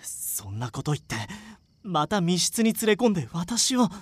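A woman's voice: lowish voice, very animated, normal speed, dry recording. A woman playing a prim, flustered woman in an audio drama, speaking politely but scolding with indignation. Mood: indignant. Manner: polite, scolding formal speech. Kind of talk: scripted audio drama acting.

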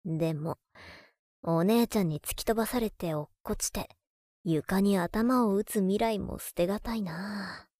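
A woman plays a doting, masochistic younger brother in an intimate audio drama. The voice is soft and coaxing, with a teasing edge and a medium pitch. The delivery is unhurried and lingering, in a very dry recording with no room sound. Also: no echo. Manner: soft, intimate, coaxing, sometimes dropping to whispers. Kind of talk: intimate Japanese audio drama, murmured monologue to a sleeping listener.

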